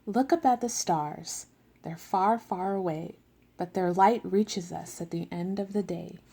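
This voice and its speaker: A woman reading the lines of a large, loving parent figure in a picture book, slowly and gently. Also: on the low side, gravelly, breathy edge, exaggerated voice. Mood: reassuring. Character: large loving parent creature, patient and reassuring.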